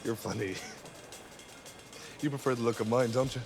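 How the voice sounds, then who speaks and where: An actor playing a smug, passive-aggressive, controlling boyfriend in film comedy dialogue, self-important and understated. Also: male voice, mid-range voice, normal speed, lightly acted. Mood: quietly controlling. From Ireland. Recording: music behind the dialogue. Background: music.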